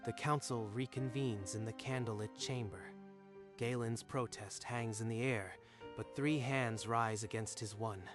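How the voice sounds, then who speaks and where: A man's voice: medium pitch, steady tone, unhurried. A man giving scripted, dramatic narration for a manga dub. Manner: dramatic storytelling. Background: faint music.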